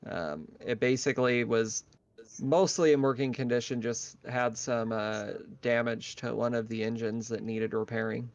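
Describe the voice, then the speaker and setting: A man's voice: medium pitch, fairly even intonation, moderate pace. A man casually narrating an online tabletop roleplaying game as game master. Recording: dull lo-fi online voice chat.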